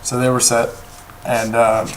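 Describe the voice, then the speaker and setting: A young man with a hoarse voice, talking casually through a card game deck, rambling with many pauses and restarts. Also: medium pitch, completely flat delivery, slight background noise. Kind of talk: casual deck profile talk. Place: indoors at a table, off camera.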